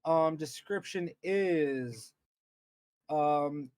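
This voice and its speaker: A man's voice: fairly high pitch, very slow, a little mellow, no room sound, clean studio sound. A man co-hosting a podcast, reading aloud with casual commentary, stumbling over words and repeating himself as he goes. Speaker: podcast co-host.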